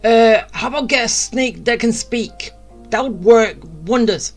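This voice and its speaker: A woman's voice: fairly high pitch, a little slow. A woman giving casual, sarcastic gameplay commentary as a streamer, grumbling a little, with game music behind.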